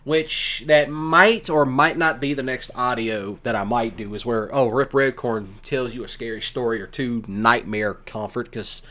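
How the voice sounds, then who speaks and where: A man's voice: medium pitch, a bit bright. A man giving casual, rambling commentary in a folksy, colloquial way, like a streamer talking to listeners. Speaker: streamer and ASMR audio creator.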